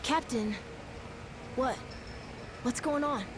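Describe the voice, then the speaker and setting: A woman's voice: high voice, slow, noisy background. A woman voicing an eager, innocent young boy, a pirate's sidekick, in a video game cutscene. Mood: confused, worried. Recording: game music behind the voice.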